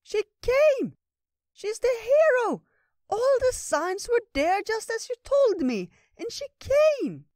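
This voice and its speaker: A man voicing an excitable small squirrel creature in a storybook, shrill and breathless. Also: slow pace, no room sound, studio quality, heavily acted. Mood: excited.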